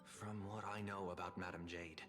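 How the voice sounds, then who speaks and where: An actor playing a composed, wary strategist in a space fantasy video game, speaking in a measured, analytical way. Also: male voice, sits low, little pitch movement, over music, close to an everyday voice. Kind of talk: scripted video game dialogue.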